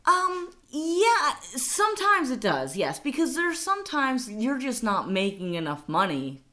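A woman's voice: high-pitched, huge pitch swings, measured pace, bright, forceful tone. A woman co-hosting a casual money podcast, chatty and laughing often. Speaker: podcast co-host.

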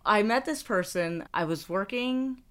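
A woman's voice: mid-range voice, expressive, measured pace, a bit bright. A middle-aged woman co-hosting a podcast, chatting casually, laughing often and restarting her sentences. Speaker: podcast co-host. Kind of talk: casual chat.

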